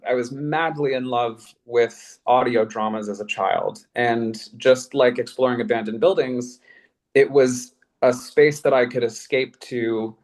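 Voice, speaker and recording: An American man from Colorado speaking reflectively on a panel, earnest and articulate, with rather elevated wording. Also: mid-range voice. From Colorado, United States. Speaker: podcast creator and artist.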